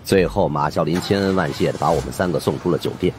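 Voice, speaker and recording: A man's voice: very deep voice, quick pace, darkish tone, a little hiss or hum. A man narrating an audiobook as dramatic, scripted storytelling.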